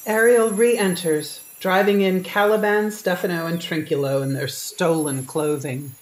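A woman narrates scripted stage directions for a radio play. Her voice sits a little lower than most women's. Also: fairly even intonation, a little slow, rough recording.

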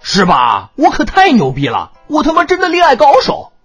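A performer voicing a brash, excitable young man, a blunt and boastful best friend. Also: high voice, normal speed, soft background music. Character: brash, excitable, foul-mouthed young male buddy.

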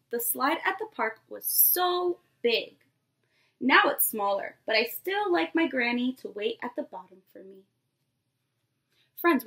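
A woman's voice: a little high, vocal fry, a bit bright. A woman in her twenties, a preschool teacher, reading expressively to young children and addressing them directly. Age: 20s. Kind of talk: animated read-aloud to young children. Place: small bedroom, indoors.

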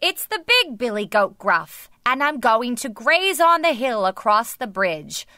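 Woman playing a bold big billy goat, forceful. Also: on the high side, big pitch swings, a little slow, no echo, studio quality, exaggerated voice. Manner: bold, roaring, defiant. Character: big, heavy, fearless male billy goat.

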